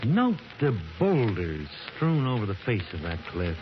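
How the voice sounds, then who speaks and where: An actor playing an enthusiastic archaeology professor and explorer, talking in a lively, persuasive, lecturing way in a 1940s radio drama. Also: male voice, on the low side, unhurried. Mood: eager. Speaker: character in a vintage radio drama. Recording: muffled, hissy vintage radio recording.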